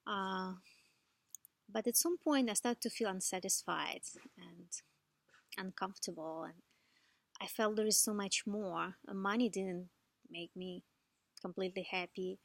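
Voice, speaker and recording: Soft-spoken woman from Ukraine. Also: mid-range voice, vocal fry, no room sound. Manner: soft, reflective, unhurried with gentle laughs. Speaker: vlogger. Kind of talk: personal life story monologue.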